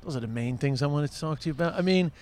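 A man hosts a loose chat, cracking crude jokes and throwing in quick asides between questions. His voice sits a little high for a man. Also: darkish tone, dry recording. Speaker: podcast host interviewing a comedian. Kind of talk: casual chat.

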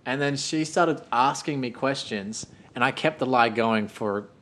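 A man co-hosting a casual chat, joking and teasing, often breaking into high laughter. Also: measured pace, fairly bright tone. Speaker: podcast co-host. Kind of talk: casual banter.

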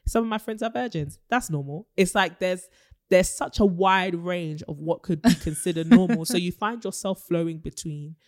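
A woman in her twenties, from Nigeria, co-hosting a podcast in relaxed conversation, animated and quick to laugh, thinking aloud with asides. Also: on the low side. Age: 20s. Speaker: podcast co-host. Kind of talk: casual chat.

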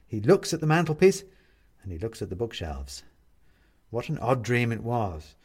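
A man's voice: medium pitch, very animated, moderate pace, darkish tone, slightly rough. A man narrating a scripted story expressively as an audiobook reader.

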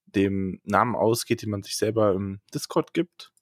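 A man's voice: fairly low pitch, normal speed, no echo. A man co-hosting a podcast in casual chat, hesitant and hedging, with dry humor. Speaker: podcast co-host.

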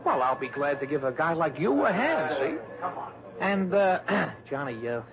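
A man's voice: fairly high pitch, sing-song, unhurried. An actor playing a pushy, scruffy American small-town informant in his thirties, wheedling and folksy in an old radio drama. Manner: folksy, wheedling, repetitive, tags questions with huh and see. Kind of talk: 1960s radio drama acting. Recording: dull vintage radio recording, crowd murmur.

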